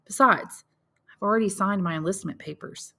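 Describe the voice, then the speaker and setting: A woman's voice: mid-range voice, moderate pace, bright, forceful tone, voice pushed up. Woman reading as earnest, resolute young man. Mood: firm, earnest. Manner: firm, reassuring, insistent. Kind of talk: audiobook character dialogue.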